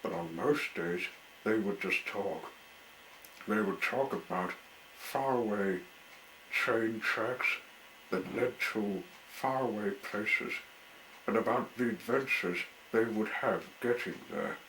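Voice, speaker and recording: A man reading a children's story aloud as a storyteller, in deliberate, broken phrases. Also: lowish voice, fairly bright tone, slight background noise, poor audio.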